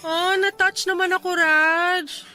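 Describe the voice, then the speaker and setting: An actress with a Filipino accent playing a young single woman in a radio drama, teasing but guarded and expressive. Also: fairly high pitch, normal speed, soft background music. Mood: playful teasing.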